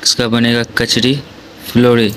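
A chatty young man films his family on a phone and talks to the viewers in a small home. His voice sits at an ordinary middle pitch. Clear background noise runs under the whole recording. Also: monotone, normal speed, fairly bright tone, poor audio. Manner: chatty, laughs often, addresses viewers directly. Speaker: vlogger. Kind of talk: casual family vlog narration.

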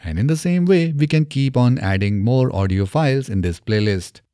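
Male tutorial narrator, thirties. Mellow tone with very animated intonation, instructional delivery, close-miked in a small studio. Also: medium pitch, normal speed, clear, resonant tone, no echo. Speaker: software tutorial presenter. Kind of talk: step-by-step screen tutorial narration. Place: small indoor home studio. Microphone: close studio microphone on boom arm.

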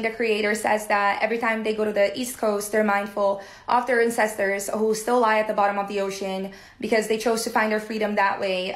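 Enthusiastic Brazilian-accented young woman, echoey room. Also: mid-range voice. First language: Portuguese. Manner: enthusiastic, earnest. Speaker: content creator. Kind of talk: casual book recommendation to camera. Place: indoor room. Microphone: phone camera at arm's length.

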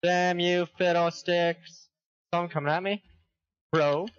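A man talking on voice chat during a game, excitable with loud outbursts and laughter. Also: high-pitched, a little slow, clear, resonant tone, no room sound. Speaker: teammate on voice chat. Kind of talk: casual gaming chat. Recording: muffled voice chat.

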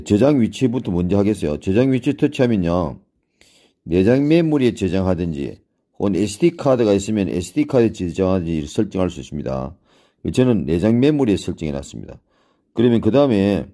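An older man giving a step-by-step tutorial in a deliberate way, repeating phrases for beginners. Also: on the low side, mellow. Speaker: smartphone tutorial instructor. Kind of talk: step-by-step screen tutorial, spoken off the cuff.

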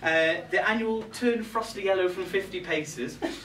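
A man's voice: sits high, moderate pace, far from the mic, lightly acted. A young man playing a catty, resentful reindeer in a comic monologue, indignant and gossipy, with arch asides to a live audience. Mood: chatty, arch. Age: young adult. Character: catty, resentful male reindeer grumbling about Santa's crew. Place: small pub room with a live audience. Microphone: far camera. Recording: echoey room from afar, background noise.

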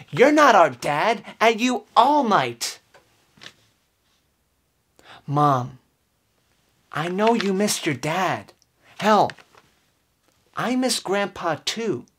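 A man's voice: high-pitched, fairly bright tone. Young man, theatrical and widely swooping delivery. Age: 20s. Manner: earnest, overwrought, emphatic with deliberate pauses. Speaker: comedic performer. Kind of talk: theatrical dramatic reading of song lyrics. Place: indoors, small room with curtain backdrop.

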